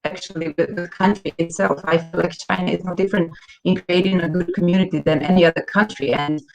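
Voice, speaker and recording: A woman's voice: fairly low pitch, fairly even intonation, gravelly, over music, low-quality recording. Woman on a video call. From Croatia. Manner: halting, repeats words while searching. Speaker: community manager, panel guest. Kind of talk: online panel talk.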